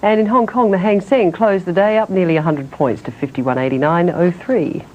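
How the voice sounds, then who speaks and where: A woman presenting news in a crisp, even newsreader delivery. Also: fairly low pitch, normal speed, lo-fi sound, a little hiss or hum. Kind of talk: news reading.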